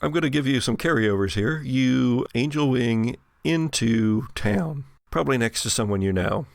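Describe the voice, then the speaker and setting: A man narrating an improvised tabletop horror game as game master, with deliberate, hesitant phrasing. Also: medium pitch, creaky, dry recording, studio quality.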